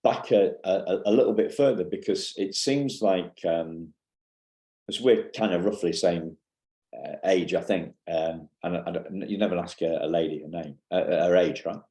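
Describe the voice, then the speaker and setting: A man in his fifties hosting a podcast interview, asking questions in a halting way with many restarts. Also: low-pitched, warm tone, echoey room. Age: 50s. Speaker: podcast host.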